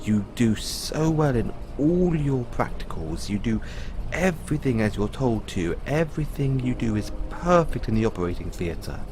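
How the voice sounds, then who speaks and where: A man playing a patient owner talking to his android in an audio roleplay, explaining in a musing way with pauses. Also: mid-range voice, big pitch swings, background noise, natural voice. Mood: musing, explanatory. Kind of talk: audio roleplay, conversational storytelling.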